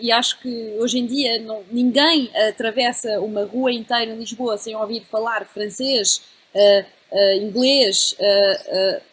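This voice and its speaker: Woman, 30s, European Portuguese accent, slightly high register with a breathy rasp, casual panelist delivery. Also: a bit bright, low-quality recording. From Belgium (Brussels), Portuguese family.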